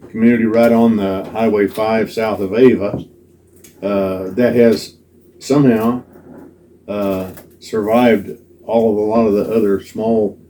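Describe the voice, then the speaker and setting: Elderly Ozarks man with a mellow low voice. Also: slight background noise. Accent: Missouri Ozarks. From Squires, Missouri Ozarks, USA. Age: 70s or older. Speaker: great-grandfather being interviewed. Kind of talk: unhurried reminiscing, casual storytelling.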